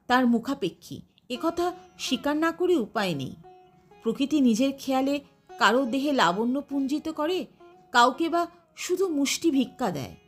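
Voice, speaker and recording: A woman. Her intonation is lively, rising and falling widely phrase to phrase. Faint music plays behind her reading. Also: sits high. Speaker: audiobook narrator. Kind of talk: expressive literary story narration.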